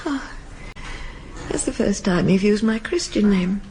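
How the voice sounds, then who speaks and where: A woman's voice: mid-range voice, expressive, a little slow, noisy background, close to an everyday voice. An actress with a British accent playing a shaken, guarded but tender woman, hesitant and subdued, in a radio drama. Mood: guarded, sorrowful.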